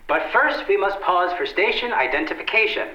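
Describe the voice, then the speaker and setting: A man's voice: high-pitched, lively intonation, normal speed. A man playing a genial, theatrical announcer narrating an old-time radio show. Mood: grand. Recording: echoey, dull recording under loud music.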